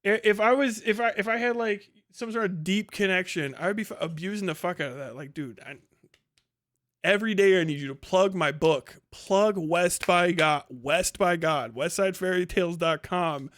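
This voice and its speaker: Higher-voiced man, casual profane livestreamer. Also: normal speed, a bit bright. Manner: profane, slangy, rambling. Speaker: livestream host. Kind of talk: casual unscripted livestream commentary.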